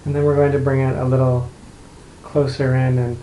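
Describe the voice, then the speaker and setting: A man guides a meditation in a mellow, relaxed voice with very little rise and fall. He speaks gently, leaving long pauses between simple instructions. The room adds noticeable echo around his words. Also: medium pitch. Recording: roomy echo, light background noise.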